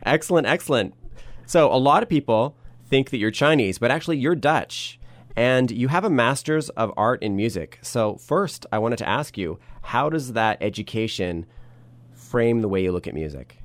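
A man's voice: medium pitch, expressive, normal speed, no room sound. A man hosting an interview show, asking upbeat, punchy questions.

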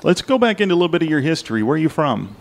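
A man interviewing a guest on radio in a conversational, unhurried style. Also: on the high side, big pitch swings, vocal fry. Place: radio studio.

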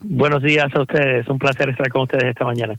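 A Puerto Rican man tells his story as a guest, pausing often and repeating words while he thinks. His voice comes over a dull, dry line with little room sound. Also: medium pitch, level tone, fairly bright tone, no echo. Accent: Puerto Rican Spanish. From Puerto Rico. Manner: thoughtful, many fillers and repeated words. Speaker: startup founder guest. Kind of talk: interview answers.

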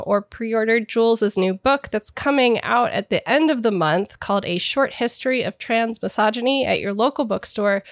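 A woman's voice: medium pitch, no echo, studio quality. A woman hosting a podcast, a little congested from a cold, speaking in an earnest, explanatory way. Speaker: podcast host. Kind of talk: scripted podcast intro and discussion.

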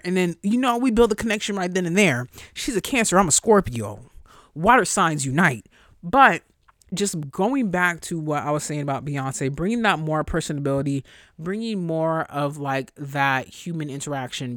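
A young woman hosting a solo podcast in a casual, chatty way, blunt and profane, her voice jumping high when she gets excited. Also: no echo, studio quality. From New York area. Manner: profane, blunt asides to listeners. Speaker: podcast host.

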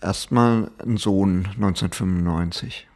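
A middle-aged man with a slight Franconian accent speaks as a podcast guest, pausing often and restarting his sentences. His voice sits very low, with a gravelly, husky grain. He recounts his life in a relaxed, conversational way. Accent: slight Franconian German. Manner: hesitant, many fillers and restarts. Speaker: interview guest. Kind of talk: conversational personal storytelling.

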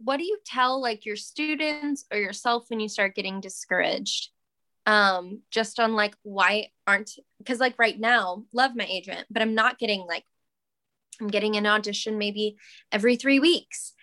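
A woman's voice: medium pitch, moderate pace, pushed and bright, dry recording. A woman hosting a podcast in casual chat, enthusiastic and full of fillers like "like" and "um". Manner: enthusiastic, uses many fillers like "like" and "um". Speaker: podcast host, actor.

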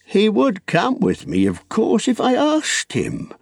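A man acts out an old male hobbit from a storybook, his voice pitched high and swooping widely. He is fretful, wistful and stubbornly possessive, rambling emphatically with querulous hesitations. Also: wildly swooping pitch, slow pace, gravelly, no room sound, clean studio sound, exaggerated voice. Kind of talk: dramatised storybook reading.

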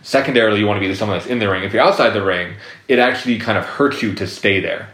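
A low-voiced man speaking as a therapist guest, circling his points with fillers and restarts. He sounds roomy, as if recorded in an echoey space. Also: fast talker, fairly bright tone. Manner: rambling, many fillers and restarts. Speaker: guest psychotherapist. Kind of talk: casual interview talk.

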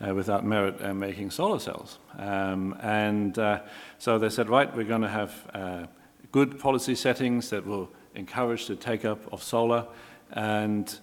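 Man with a German accent, lowish voice, lively panel speaker with a reverberant hall sound. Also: measured pace. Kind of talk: panel discussion.

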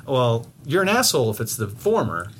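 A man co-hosting a podcast in casual chat, rambling with many restarts, swearing freely and getting animated as he tells stories. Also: medium pitch, moderate pace, slight background noise. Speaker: podcast co-host.

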